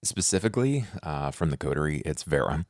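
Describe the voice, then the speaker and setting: A man's voice: a bass voice, animated, fairly quick, darkish tone, no room sound, clean studio sound. A man chatting casually as a player and co-host on a tabletop roleplaying podcast. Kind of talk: casual chat.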